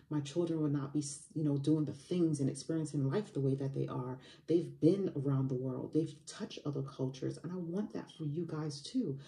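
A woman's voice: low voice, dark tone, echoey room, distant mic. A woman in her early forties, a money coach from Barbados, giving an emphatic motivational talk to camera at home. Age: 40s. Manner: emphatic, repetitive motivational phrasing with fillers. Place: home room by a window.

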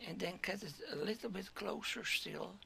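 Hoarse guy doing a slow screen tutorial, super gravelly, bit of hiss behind. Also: high voice, sharp tone. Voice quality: gravelly, keeps clearing throat. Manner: halting, step-by-step, drops to whispers. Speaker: 3D modeling tutor. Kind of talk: screen-recorded software tutorial.

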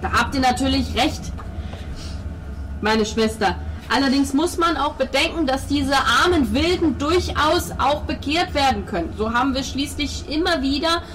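A woman's voice: sits high, lively intonation, moderate pace, fairly bright tone, a little hiss or hum, low-quality recording. A woman voicing a devout, pompous male priest in tabletop roleplay, explaining at length with hesitations and pious flourishes. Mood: patient explaining.

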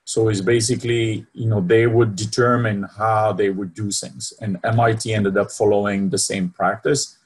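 A man's voice: fairly low pitch, flat delivery, normal speed, hardly any pauses, slightly rough. A middle-aged man from Belgium with a French accent, giving an online lecture over a video call from home, hesitant with many fillers and a habit of asking "right?". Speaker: university department head presenting. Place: home room with bookshelves. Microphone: laptop webcam video call.

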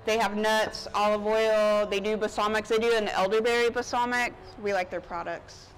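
A woman's voice: medium pitch, steady tone, moderate pace, poor audio. A woman in her thirties chatting casually as a chef, explaining things informally while busy cooking in front of people. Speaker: chef giving a cooking demonstration. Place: outdoor covered market stage. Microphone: lapel mic. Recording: outdoor ambient noise behind voice. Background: outdoor park ambience.

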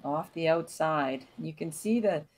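A woman giving calm, analytical live commentary on a curling match. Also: deep voice. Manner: calm, analytical play-by-play with pauses.

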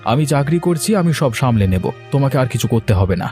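A man's voice: medium pitch, animated, fast talker, darkish tone, soft background music, natural voice. A man voicing a proud, insistent younger brother, earnest and firm, in a cartoon moral story.

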